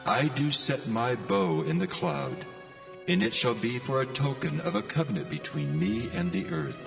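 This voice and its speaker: Male actor as almighty deity, very gravelly, solemn proclamation, expressive pitch swings, music behind, audio scripture drama. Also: moderate pace. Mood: solemn, majestic.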